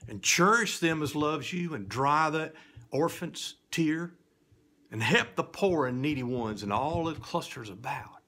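A middle-aged man reciting a children's poem like a spooky storyteller, swinging between hushed whispers and loud cries. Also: a little high, animated, unhurried. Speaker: storyteller reading children's poetry. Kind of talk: dramatic theatrical poetry recitation for children.

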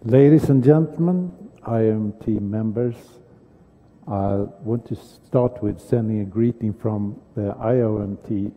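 Slow gravelly older Swedish man. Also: fairly low pitch. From Sweden. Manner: halting, with many fillers and restarts. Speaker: dentist giving a conference talk. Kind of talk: conference lecture. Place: large conference hall stage. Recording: dull sound with long hall reverb.

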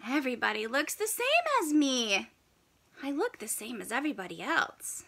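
A woman's voice: sits high, big pitch swings, normal speed, vocal fry, fairly bright tone, breathy edge, voice pushed down, full character voice. A woman voicing a grumpy young male penguin in a children's storybook, complaining in an exasperated, melodramatic way.

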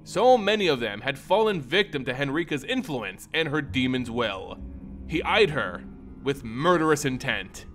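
A man reading a fantasy tale as a dramatic narrator, with theatrical, deliberate phrasing. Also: medium pitch, big pitch swings, fairly bright tone, soft background music. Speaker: storyteller, narrator.

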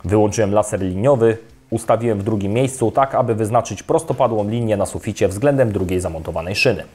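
A young adult man giving a calm, scripted step-by-step voice-over for an electrical installation video. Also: lowish voice, quick pace. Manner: calm, even, step-by-step instructional delivery. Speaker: electrician presenting a how-to video. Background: soft guitar music.

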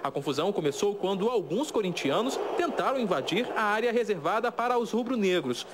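A young man reads a formal news voice-over. His voice sits high and moves widely in pitch, and a faint hiss runs under the track. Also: expressive. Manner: measured, formal reporting. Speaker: TV news reporter.

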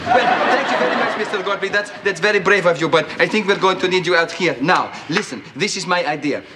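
An actor playing a naive, cheerful cousin in a sitcom, earnest and stammering. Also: male voice, high-pitched, fast talker, close to an everyday voice. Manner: earnest, stammering, sweetly literal. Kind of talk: sitcom acting. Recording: noisy TV soundtrack with audience.

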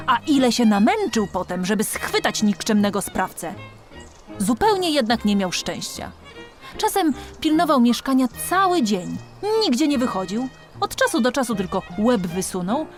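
A woman's voice: on the high side, very animated, unhurried, faint music behind. A woman reading a children's storybook as an audiobook narrator, expressively and with dramatic emphasis.

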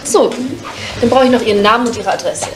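A woman's voice: fairly high pitch, fast talker, a crowd in the background, close to an everyday voice. An actress playing a polite, persuasive middle-aged saleswoman, businesslike and reassuring, in 1980s TV film style.

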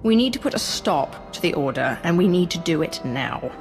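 A woman's voice: fairly low pitch, sing-song, moderate pace, music in the background. An actress playing a stern woman Sith lord who speaks curtly and coldly.

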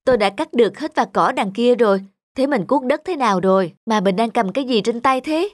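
A woman's voice: a little high, lively intonation, a little fast, slight background noise, close to an everyday voice. A woman voicing a practical, plain-spoken young farmer's wife in a cartoon folk tale, matter-of-fact. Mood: inquiring.

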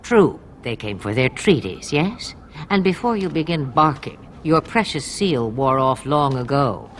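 Old woman of the wilds, fantasy game: mocking, theatrical, slow, swooping delivery, faint noise behind. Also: a bass voice, animated, exaggerated voice. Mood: dry, imperious. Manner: theatrical, teasing, unhurried. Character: cryptic, sharp-tongued old woman of the wilds, gleefully mocking. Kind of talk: fantasy video game voice acting.

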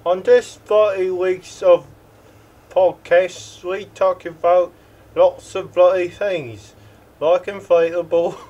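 A man's voice: high voice, steady tone, takes it slowly, a little mellow, lo-fi sound, slight background noise. A young man co-hosting a podcast, clowning through a trailer in a goofy mock-announcer style in a home living room. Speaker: podcast co-host. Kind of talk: joking trailer banter.

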